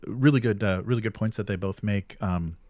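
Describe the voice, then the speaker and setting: A man with a fairly low voice, recorded close and dry. He co-hosts a casual discussion show about gaming news, and he speaks haltingly, feeling for the right word as he goes. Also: a little mellow, no room sound. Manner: halting, searching for words. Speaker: co-host of a weekly tabletop gaming show.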